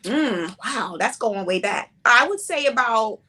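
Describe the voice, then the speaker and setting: A woman in her forties, a playwright and director, answering interview questions in a relaxed, reflective, conversational way. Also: on the high side, measured pace. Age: 40s.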